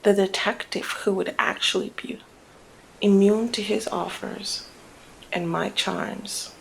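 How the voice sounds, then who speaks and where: A woman playing a flirty, cunning female mob boss in an intimate ASMR roleplay, speaking in a teasing, deliberate murmur that often slips toward a whisper. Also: mid-range voice, fairly even intonation, slight background noise, low-quality recording. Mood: teasing, confiding. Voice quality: breathy creaky murmur, often dropping to whisper. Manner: teasing, deliberate, drawn-out phrasing.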